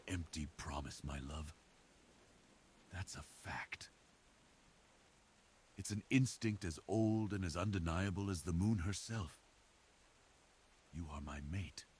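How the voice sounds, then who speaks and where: A man playing a devoted, protective werewolf boyfriend in an intimate ASMR roleplay, speaking earnestly and deliberately to reassure his partner. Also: very deep voice, slight background noise.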